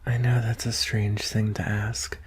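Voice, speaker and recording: A performer voicing a shy, anxious young alien prince in an ASMR roleplay, speaking softly and hesitantly in a breathy, husky half-whisper. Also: mid-range voice, level tone. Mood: timid, lonely. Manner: hesitant, halting, tender. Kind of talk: ASMR roleplay, soft intimate delivery.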